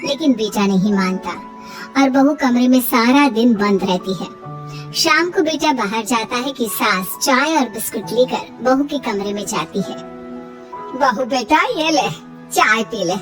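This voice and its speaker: Female storyteller for cartoon narration: high register, scripted read, a bit away from the mic. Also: measured pace, far from the mic, over music.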